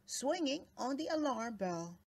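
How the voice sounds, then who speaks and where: A woman narrating a children's story aloud in an expressive, dramatic read-aloud style with deliberate phrasing. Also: high-pitched, very noisy. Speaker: storybook reader.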